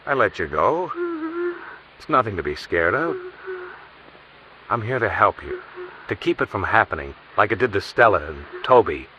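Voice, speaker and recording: An actor playing a tough, sardonic insurance investigator in a clipped 1950s radio noir style. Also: male voice, fairly low pitch, sing-song, normal speed, long pauses, sharp tone. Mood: soothing, urgent. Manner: clipped, sardonic, hard-boiled. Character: tough, wry male insurance investigator, hard-boiled narrator. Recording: muffled, noisy old radio recording.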